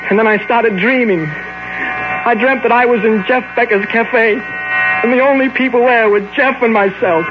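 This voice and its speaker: A guilt-ridden man losing his mind, high melodramatic voice, dull old radio sound w loud music. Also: measured pace. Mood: haunted, brooding. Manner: anguished, melodramatic. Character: guilt-tormented, haunted man tipping into madness. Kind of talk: 1940s radio drama acting.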